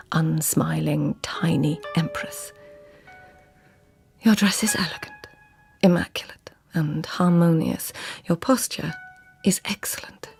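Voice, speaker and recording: An actress playing a proud, witty court lady in a radio drama, with formal, measured speech and a husky, creaky voice. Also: low voice, darkish tone. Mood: composed, wry. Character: proud, witty Japanese court lady, deferential yet flustered. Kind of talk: radio drama acting with first-person narration.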